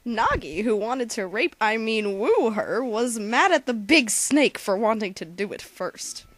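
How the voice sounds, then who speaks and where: A woman's voice: a little high, sing-song, a little slow, no room sound. A woman co-narrating a fan-made comedy spoof, telling the story in a slangy, mock-dramatic way. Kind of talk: comic storytelling narration of an abridged game parody.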